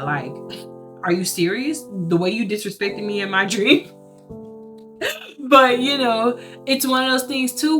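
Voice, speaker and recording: Earnest woman with a loud, carrying voice. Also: medium pitch. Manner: earnest and emphatic, with rhetorical asides. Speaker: churchgoer sharing a personal testimony. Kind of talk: casual faith storytelling. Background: soft guitar music.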